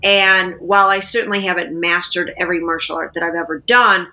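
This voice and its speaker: A woman's voice: on the low side, fairly even intonation, a little fast, sharp tone, low-quality recording. A middle-aged woman answering interview questions over a video call, thoughtful and explanatory with some fillers. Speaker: guest, actress and martial artist. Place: indoors, plain room.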